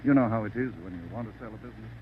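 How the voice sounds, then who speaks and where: An actor playing a nervous, stammering bar owner in a 1940s radio crime drama. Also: male voice, fairly low pitch, very fast, close to an everyday voice. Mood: recounting, shaken. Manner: stammering, pleading, excitable. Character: nervous, rattled bar owner, victim of hold-up. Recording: noisy, tinny 1940s radio recording.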